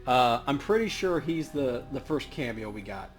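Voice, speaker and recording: A man's voice: medium pitch, lively intonation, moderate pace. A man hosting a let's play, giving casual, playful commentary on the game. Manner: joking, playful. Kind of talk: casual gameplay commentary and read-aloud. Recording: game music under the voice.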